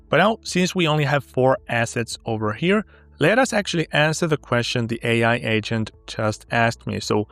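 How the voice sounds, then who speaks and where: A man whose first language is Finnish, upbeat and walking step by step through a sponsored software tutorial. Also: mid-range voice, vocal fry, no room sound. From Finland. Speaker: tech tutorial host.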